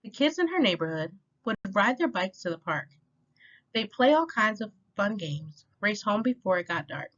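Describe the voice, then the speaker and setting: Female storytime reader, expressive rhyming picture-book delivery, animated intonation, slightly muffled low-fidelity recording. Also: moderate pace. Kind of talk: children's picture book read-aloud. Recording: dull lo-fi sound.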